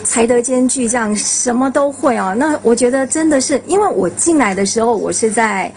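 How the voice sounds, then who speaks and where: An effusive woman broadcaster talks in a casual panel chat. Her fairly high voice swings widely, with clear noise around her. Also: lively intonation, normal speed, darkish tone. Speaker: radio broadcaster. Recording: noisy room ambience.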